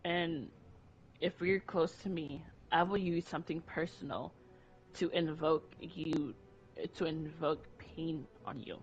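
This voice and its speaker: A woman's voice: deep voice, takes it slowly. Woman frank and rambling over dull audio. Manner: candid, rambling with repeated restarts. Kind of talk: casual conversation. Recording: dull lo-fi audio with faint music.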